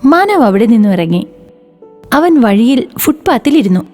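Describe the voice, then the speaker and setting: A woman's voice: fairly high pitch, sing-song, moderate pace, a little mellow, faint music behind. A woman narrating a scripted children's moral story.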